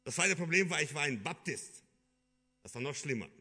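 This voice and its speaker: Bright-voiced German preacher man. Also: on the high side, animated, moderate pace, breathy edge. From Kyrgyzstan. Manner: earnest, hesitant with many fillers and restarts. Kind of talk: sermon.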